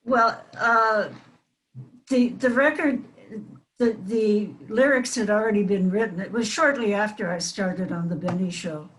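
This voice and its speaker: A woman's voice: mid-range voice, measured pace, a bit bright, slight background noise, poor audio. An older woman, a former performer appearing as a panel guest, reminiscing casually and hesitantly.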